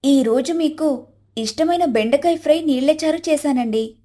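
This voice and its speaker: A woman's voice: sits high, normal speed, mellow, lightly acted. A woman voicing a dutiful, apologetic young wife in a cartoon story, polite and deferential. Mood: attentive. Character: dutiful, apologetic elder-sister daughter-in-law.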